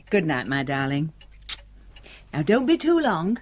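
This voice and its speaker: An actress playing a gentle, concerned wife, coaxing and tender, in a radio drama. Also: female voice, low voice, big pitch swings, slow, full character voice.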